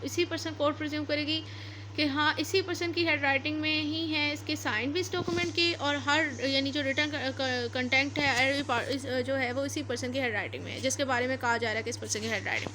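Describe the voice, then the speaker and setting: A woman's voice: high voice, bright, forceful tone, slight background noise. A woman with a Pakistani accent giving an explanatory law lecture, reading clauses aloud and then explaining them repetitively, switching between two languages. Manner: repetitive, code-switching between English and Urdu.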